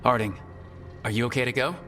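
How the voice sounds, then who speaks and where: A man voicing a composed, resolute mage leader in a video game, speaking in a measured, serious way. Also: mid-range voice, a bit bright, close to an everyday voice. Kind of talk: video game dialogue acting. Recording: long reverb, game music behind.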